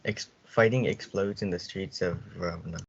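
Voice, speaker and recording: A man's voice: deep voice, steady tone, normal speed, breathy edge. A man reading scripted narration for a student play. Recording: dull, muffled lo-fi audio.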